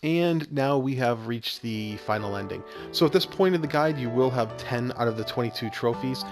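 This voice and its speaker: A man's voice: mid-range voice, animated, normal speed. An American man giving a casual, informal, instructional video game walkthrough as the guide. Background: game music with guitar.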